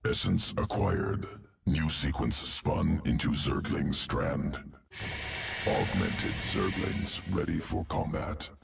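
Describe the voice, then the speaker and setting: A man's voice: rumbling low, big pitch swings, vocal fry, distant mic, full character voice. A man playing a cold, clinical alien insectoid geneticist in a video game, speaking in a guttural growl and clipped, telegraphic phrases. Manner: clipped telegraphic speech without articles, deliberate. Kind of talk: video game character acting.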